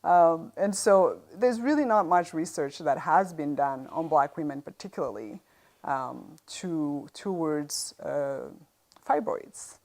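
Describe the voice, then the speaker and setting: A middle-aged woman with an East African accent shares her story in an interview. Her voice sits very low and crackles with vocal fry. She speaks conversationally, stopping often to find her words. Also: lively intonation, slow, darkish tone. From Uganda. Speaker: interview guest sharing a health experience. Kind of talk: conversational personal testimony.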